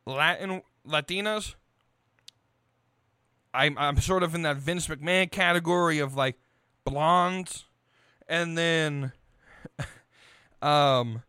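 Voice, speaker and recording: A male podcast host with a fairly high, smooth and clear voice. He talks casually and opinionatedly, blunt and quick to swear. The sound is dry and close. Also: pushed and bright, dry recording. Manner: blunt, profane.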